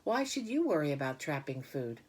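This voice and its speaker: Storybook spider mentor, female, patient, matter-of-fact, lively pitch movement; woman reader. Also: moderate pace, husky, heavily acted. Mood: frank, consoling.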